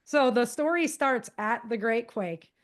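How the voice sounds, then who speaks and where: Female interview guest, slightly high voice, chatty and animated explainer. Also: a little slow. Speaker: guest author giving safety advice. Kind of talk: casual, conversational podcast interview.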